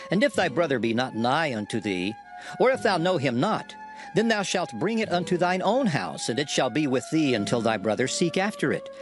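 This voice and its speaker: A man delivers a dramatized scripture reading in archaic English. His manner is solemn and declamatory, with a mid-range voice that moves up and down expressively. Music plays clearly behind him. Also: medium pitch. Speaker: Bible narrator. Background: music under the voice.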